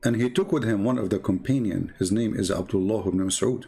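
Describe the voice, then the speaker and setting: Husky, gravelly man explaining, non-native English. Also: fairly low pitch, fairly quick, mellow. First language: not English. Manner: didactic, emphatic, repeats phrases. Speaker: religious commentator, show host. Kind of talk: informal explanatory talk, storytelling and argument. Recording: shortwave radio talk.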